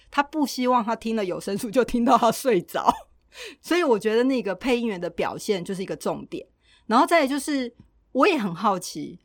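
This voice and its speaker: A woman's voice: on the high side, no room sound, clean studio sound. A woman with a Taiwanese accent co-hosting a podcast, talking casually and reflectively in everyday colloquial speech. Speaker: podcast co-host.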